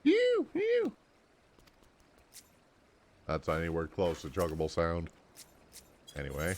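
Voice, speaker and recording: A man's voice: extremely low pitch, steady tone, normal speed. A man streaming a game, giving casual commentary and reading the game text aloud.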